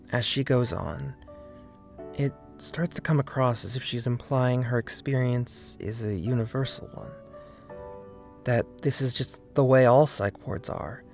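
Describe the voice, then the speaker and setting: A man narrating a scripted video essay in an earnest, deliberate way, with emphasis on key words. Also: medium pitch, darkish tone. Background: faint background music.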